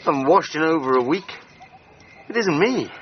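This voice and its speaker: An actor playing a proud, moody gentleman adventurer in a radio drama. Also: on the high side, expressive, moderate pace, natural voice. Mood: weary, despairing. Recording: dull sound with faint background noise.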